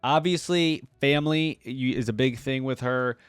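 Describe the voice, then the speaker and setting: A man's voice: mid-range voice, normal speed, clean tone, no echo. A man hosting a reaction video, commenting casually and informally to viewers with slangy asides as he thinks aloud.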